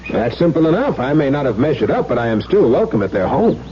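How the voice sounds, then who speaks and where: A man's voice: medium pitch, big pitch swings, fast, natural voice. A man acting an urbane, skeptical baron in a radio drama, with a formal, teasing, arch manner. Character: urbane, wry baron, skeptical gentleman cousin. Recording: muffled 1970s radio broadcast with noise.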